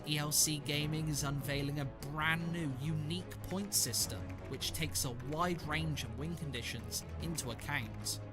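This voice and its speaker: Male promo announcer over loud music. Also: a little high, moderate pace, few pauses. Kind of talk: scripted promotional narration.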